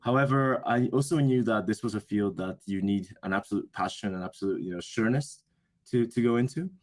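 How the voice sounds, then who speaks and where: A man's voice: on the low side, brisk. A young man whose first language is Japanese, answering interview questions in a thoughtful, hesitant way that often corrects itself. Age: young adult. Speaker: interview guest, business school student.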